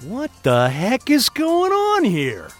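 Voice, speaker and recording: An actor playing an excitable, chatty, mild-mannered man stranded alone, thinking aloud theatrically in an audio drama. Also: very high voice, slow pace, soft background music, heavily acted. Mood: bewildered. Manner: theatrical, animated, self-talking.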